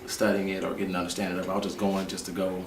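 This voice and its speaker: A man's voice: deep voice, completely flat delivery, rapid-fire. A man in his twenties giving long, earnest interview answers. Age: 20s. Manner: earnest, explaining at length. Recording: roomy echo from a distance with faint noise.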